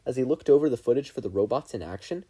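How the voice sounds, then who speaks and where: A narrator giving an expressive scripted reading of fan fiction. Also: mid-range voice, fast, slightly rough. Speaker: podfic narrator.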